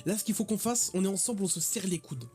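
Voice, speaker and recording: A man setting up and playing an online werewolf game over voice chat, talking in casual banter that turns rowdy and teasing, and shouting when worked up. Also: sits high, fast talker, breathy edge, soft background music. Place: voice chat from home.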